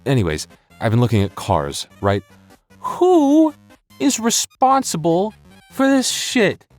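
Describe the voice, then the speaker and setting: A man's voice: sits high, wildly swooping pitch, slow, a little mellow, soft background music. An American man chatting casually to his listeners as an audio creator, rambling and joking with exaggerated comic exasperation. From United States. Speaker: audio roleplay creator and voice actor.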